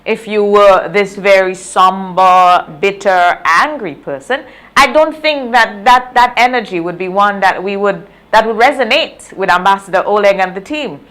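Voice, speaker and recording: Female morning interviewer, Grenadian accent, medium-pitched voice, conversational and affirming delivery. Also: measured pace, hardly any pauses, fairly bright tone. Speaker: morning show host.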